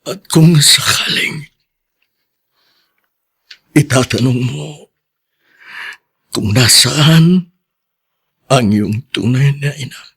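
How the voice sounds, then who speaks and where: An actor playing a grave, remorseful ex-convict father in a Filipino radio drama, speaking slowly and solemnly in a husky voice. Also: medium pitch, fairly bright tone, full character voice. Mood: sorrowful. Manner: slow, solemn, pleading.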